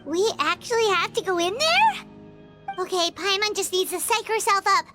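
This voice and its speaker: A woman voicing a small, excitable, easily scared childlike female fairy companion in a video game, whining and exclaiming. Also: extremely high pitch, moderate pace, over music. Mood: whiny, protesting. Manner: whiny, exclaiming, refers to herself in third person.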